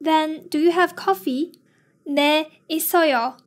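High-pitched woman reader, extremely slow, evenly spaced lesson delivery. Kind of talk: slow reading of phrases and translations.

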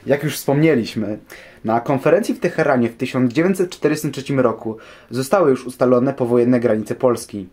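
A man with a mellow, soft-edged voice narrates history from a script. He speaks at a middle pitch, as a documentary expert answering questions. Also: a little fast.

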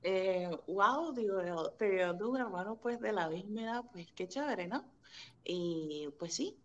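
A middle-aged woman from Nicaragua telling family stories in a casual interview over a webcam, animated and laughing often. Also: mid-range voice, unhurried, highs cut off, slight background noise. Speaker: guest, interviewer's sister. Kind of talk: casual video-call interview. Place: home room indoors. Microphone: video call webcam.

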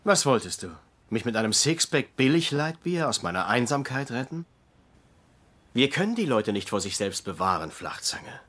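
An actor in a dubbed TV comedy playing a gruff, biting middle-aged doctor who mentors an intern. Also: male voice, mid-range voice, sing-song, normal speed, fairly bright tone. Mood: scornful. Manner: biting, insulting, ranting. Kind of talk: German dubbed TV comedy acting.

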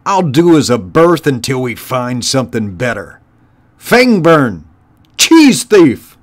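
A man's voice: medium pitch, very animated, normal speed, voice pushed up, natural voice. A man voicing a brutal, contemptuous one-eyed rat warlord from a storybook, bellowing and snarling his commands. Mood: barking orders. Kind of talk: storybook character voice.

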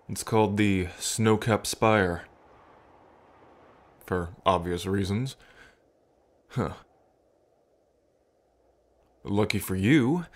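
Slow, low-voiced dragon king played by a man. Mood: fond, relaxed. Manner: unhurried, musing, pausing, softly chuckling between thoughts. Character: adult male dragon king, fond, reflective, proud of ancestors. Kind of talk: intimate ASMR roleplay addressed to the listener.

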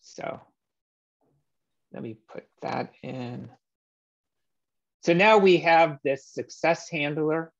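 Man, 30s, meetup coding presenter; slow, gravelly, filler-heavy delivery; muffled video-call audio. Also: on the high side. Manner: relaxed, explanatory, many "um" fillers. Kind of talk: screen-share coding walkthrough. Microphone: webcam or laptop mic on a video call.

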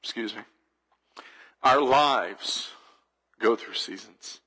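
A man's voice: mid-range voice, slow pace, sharp tone, poor audio. A man preaching a sermon as a church pastor, earnest and reflective, building through repeated phrases. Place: church sanctuary.

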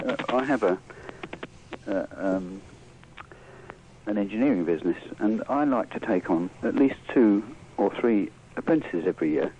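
An older man talking hesitantly and haltingly as a caller in a casual radio discussion. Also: lowish voice, expressive, warm tone. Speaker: employer calling a radio phone-in. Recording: dull, noisy radio phone-in.

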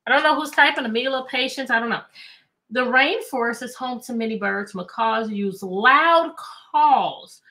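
A middle-aged American woman reading aloud to children as a teacher, animated and chatty, often asking questions. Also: on the high side, moderate pace. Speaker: teacher and read-aloud host. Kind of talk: interactive children's read-aloud lesson. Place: indoor room with bookshelves.

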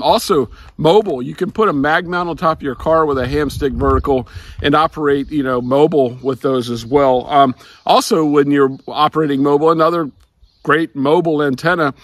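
An older man talking casually and explaining things to camera as a hobby radio vlogger, with a halting, rambling delivery that repeats words. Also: a little high, a bit bright, no echo. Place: outdoors in a grassy backyard.

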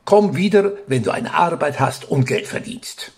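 Creaky, theatrical papa. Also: male voice, fairly high pitch, expressive, normal speed, a little mellow, heavily acted. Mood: protective, indignant. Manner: theatrical, fussy, repeats himself. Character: excitable, overprotective Italian father, vain and theatrical. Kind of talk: German audio drama acting.

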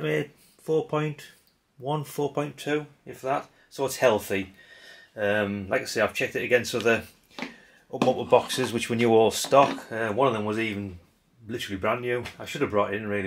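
A man's voice: fairly low pitch, creaky, fairly bright tone. A man, hosting a casual hands-on radio demo at a workbench, chatty and rambling with lots of fillers. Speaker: radio hobby channel host. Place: indoor workbench room.

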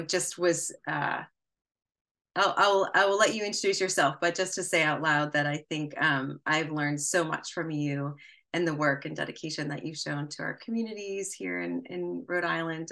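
A middle-aged woman moderating a panel over a video call from a room at home, talking warmly with many fillers and pauses. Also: low-pitched, creaky. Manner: warm, hesitant with many fillers. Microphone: laptop webcam on video call.

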